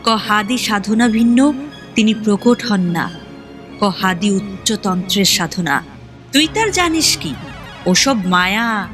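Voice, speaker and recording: A woman's voice: medium pitch, animated, slow, long pauses, over music. A woman playing a mad tantric holy woman in an audio drama, taunting, scornful and commanding as she scolds. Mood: scornful scolding. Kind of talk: Bengali audio drama acting.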